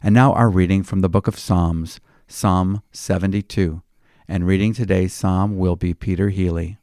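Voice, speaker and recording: A man speaking as a pastor, delivering scripted Bible commentary in a deliberate, preacherly manner. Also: low voice, steady tone, mellow, dry recording, studio quality. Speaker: pastor and devotional commentary host.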